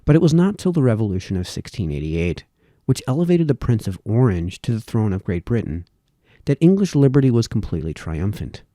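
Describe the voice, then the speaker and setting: A man reading formal historical essays aloud, with measured, emphatic phrasing that falls away at sentence ends. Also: on the low side, big pitch swings, mellow, dry recording, studio quality.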